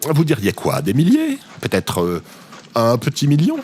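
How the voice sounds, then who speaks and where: An exuberant ex-president working as a phone operator, acted by a man in a comic audio drama. The delivery is naive and theatrical, with extremely animated swings around a middling pitch, over faint background noise. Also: normal speed. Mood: elated, gushing. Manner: gushing, theatrical, wildly animated. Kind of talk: audio drama comedy acting.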